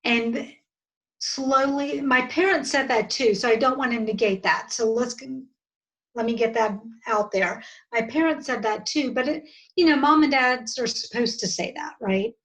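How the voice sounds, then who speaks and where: A woman of about fifty with an American accent, talking earnestly and haltingly as a guest in an interview, often restarting her sentences. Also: on the high side, fairly bright tone, low-quality recording. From southern United States. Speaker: podcast guest. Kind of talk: reflective interview conversation.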